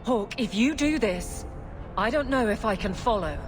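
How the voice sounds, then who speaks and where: A woman's voice: fairly high pitch, lively intonation, moderate pace, noisy background, close to an everyday voice. An actress playing an earnest, dutiful female warrior companion in a fantasy game. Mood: torn. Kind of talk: fantasy video game voice acting.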